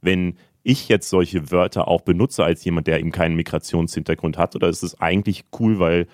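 A man hosting a podcast, asking measured, thoughtful questions. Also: low voice, steady tone, a little mellow, no echo, clean studio sound. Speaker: podcast host.

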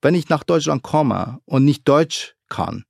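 Gravelly-voiced man telling his story. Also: on the high side, measured pace, dry recording, studio quality. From Iraq. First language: Arabic. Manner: earnest, animated, frequent fillers. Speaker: guest. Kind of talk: conversational interview.

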